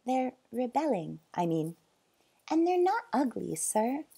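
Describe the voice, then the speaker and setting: A sweet, shy young boy, as a woman narrator puts him on, pitched well above her usual voice in a storybook reading. The voice stays soft and a little husky, with a lively, bouncing lilt. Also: measured pace, heavily acted. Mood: earnest.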